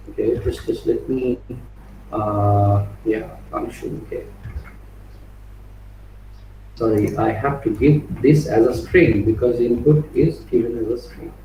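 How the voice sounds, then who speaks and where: A man teaching an online coding workshop, talking his way through live code with frequent "right?" and "you know" fillers, restarting phrases as he types and reading code strings out slowly. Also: fairly low pitch, dark tone, recorded from a distance. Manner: restarts and repeats words while typing. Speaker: workshop instructor. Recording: roomy, off-mic with faint background noise.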